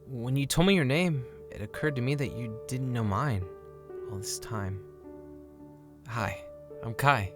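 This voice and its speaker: A man's voice: medium pitch, unhurried, long pauses, music in the background, lightly acted. A man playing a shy, lovestruck college-aged kitchen worker in an audio story, speaking tenderly and earnestly. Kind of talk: scripted audio story acting.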